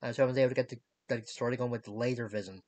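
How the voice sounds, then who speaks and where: A young adult man giving a casual recap in a halting, disjointed way, searching for words. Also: mid-range voice, fairly even intonation, darkish tone, no room sound. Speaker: reviewer of animated series. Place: small cluttered bedroom, indoors.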